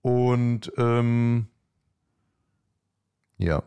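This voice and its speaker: A man co-hosting a podcast, talking in a casual, hesitant way with many pauses and restarts. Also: mid-range voice, steady tone, dark tone, smooth. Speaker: podcast co-host. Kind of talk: casual conversational commentary.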